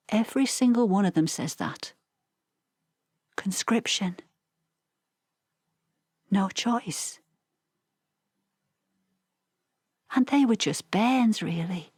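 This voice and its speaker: Elderly Northern English wife, slow, husky and breathy, plain-spoken, tender, close dry recording. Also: female voice, mid-range voice, a little mellow, no echo. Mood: sorrowful, hushed. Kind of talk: verbatim theatre monologue.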